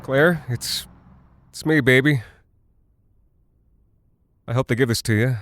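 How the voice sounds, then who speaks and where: A man playing an anxious pilot and husband in an audio drama, rambling haltingly and nervously to his wife as if reassuring himself. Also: medium pitch, fairly bright tone. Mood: nervous, tender.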